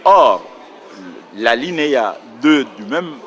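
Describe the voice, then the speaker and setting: A man's voice: medium pitch, expressive, slow pace, a bit bright. A man explaining a legal point on a panel in a precise, lecturing way, correcting himself as he goes. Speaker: round table panelist on nationality law.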